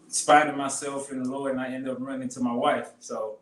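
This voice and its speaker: A man's voice: mid-range voice, flat delivery, brisk, distant mic, a little hiss or hum. A man from Memphis, Tennessee, a guest talking earnestly about his faith in a casual conversation. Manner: earnest, faith-filled talk. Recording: roomy sound from off mic.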